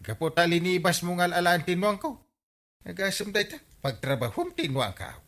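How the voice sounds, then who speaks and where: A man playing an excitable, quarrelsome villager in a Filipino radio comedy drama, blustery and exclamatory, with an Ilocano accent. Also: high voice, normal speed, exaggerated voice. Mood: accusing.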